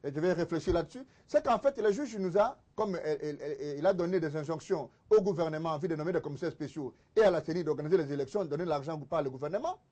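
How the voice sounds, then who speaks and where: Congolese guy in a political tv debate, high voice, rapid, emphatic, repeats himself to hammer points. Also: animated, mellow. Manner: insistent, emphatic, repeating phrases for stress. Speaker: debate panelist, political commentator.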